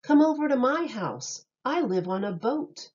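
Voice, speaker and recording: A woman reads a children's rhyming story at an unhurried pace. Her pitch swings in a lively sing-song, with each phrase set out clearly for young listeners. The sound is slightly dull and lo-fi. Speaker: teacher reading a picture book aloud.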